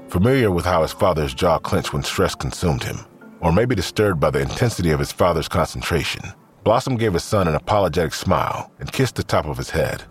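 Male narrator for a crime audio drama: very low register, animated contours, grave measured read, faint score. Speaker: narrator of a fiction podcast.

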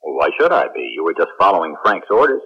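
An actor playing a good-humored, informal astronaut captain with a wry, easygoing manner in a radio drama. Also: male voice, sits low, very animated, fast, husky, heavily acted. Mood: warm, joking. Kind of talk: 1970s radio drama acting. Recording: dull, band-limited vintage radio recording.

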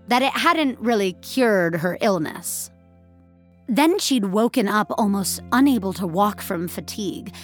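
Slow, expressive woman narrating fiction. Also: mid-range voice. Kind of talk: scripted story narration, expressive.

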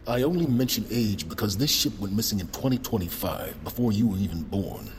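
An actor playing a stern, condescending senior agent in an audio drama, with a commanding, blunt manner. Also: male voice, lowish voice, expressive, brisk, a little hiss or hum, natural voice. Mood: awkward, apologetic. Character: stern, condescending male senior federal agent, grave and intense. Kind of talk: cinematic audio drama acting.

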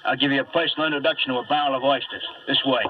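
A man's voice: medium pitch, steady tone, rapid-fire, background noise, natural voice. A distant, muffled old radio recording holds a man performing a hulking bruiser of a manager. He is curt, jealous and menacing in 1950s drama style. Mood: curt, bossy. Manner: curt, threatening, tough-guy commands.

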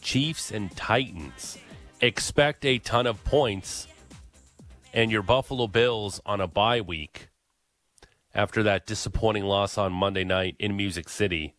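A man hosts sports talk radio in a low voice that sits close and dry on the mic. He gives blunt opinions and hammers his points home by repeating them. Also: unhurried, fairly bright tone, no echo, faint music behind.

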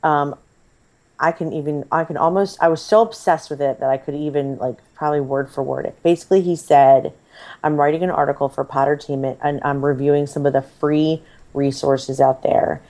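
A woman, likely in her thirties, chatting casually as a podcast co-host, her voice slightly hoarse and froggy, rambling and self-deprecating. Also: low-pitched, fairly even intonation, a little fast, a little mellow.

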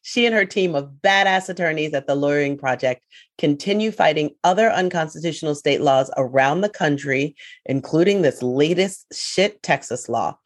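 A woman's voice: deep voice, moderate pace. A woman co-hosting a podcast, emphatic and wry, opening the show and introducing a guest. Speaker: podcast co-host. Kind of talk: casual chat, introducing a guest.

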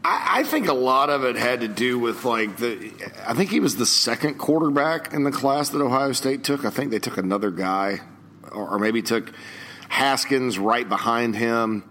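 A man with a mid-pitched voice co-hosts a casual sports podcast. He speaks conversationally and sometimes stumbles and restarts his sentences. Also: animated, normal speed, fairly bright tone. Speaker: podcast co-host.

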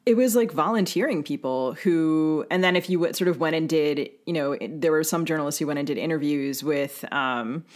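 A woman co-hosting a conversational interview podcast, thinking aloud with halting, restarted sentences. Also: sits low. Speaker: podcast co-host.

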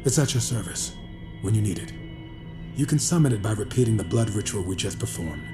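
Guy acting solemn mysterious mystic man, low voice, cryptic n measured, loud music behind, audio drama. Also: lively intonation, natural voice. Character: grave mystic man explaining an ancient blood ritual.

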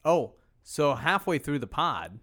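A man's voice: on the high side, very animated, a little slow, fairly bright tone. A man co-hosting a podcast in casual, joking banter, riffing playfully. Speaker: podcast co-host.

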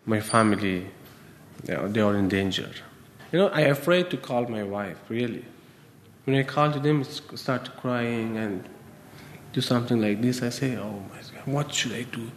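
A man around thirty with an Afghan accent, giving interview answers in halting, repetitive second-language English. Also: mid-range voice, a little hiss or hum. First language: not English. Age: 30s. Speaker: musician, interviewee. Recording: long reverberant room echo.

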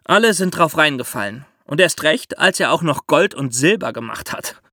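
A man hosting a podcast, explaining step by step in lively storytelling for children with theatrical emphasis. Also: a little high, moderate pace, no echo, studio quality.

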